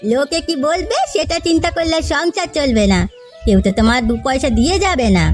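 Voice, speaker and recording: A woman acts a faithful, practical housemaid from a cartoon folk tale. She talks quickly in a very high voice, earnest and gently coaxing. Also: expressive, soft background music. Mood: encouraging. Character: loyal, practical young maidservant, caring and encouraging. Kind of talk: cartoon fairy-tale dialogue.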